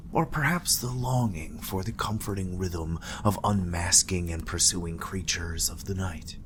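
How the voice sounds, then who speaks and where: Very deep, slow man narrating gothic horror. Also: noisy background. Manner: hushed, breathy, ominous delivery. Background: music.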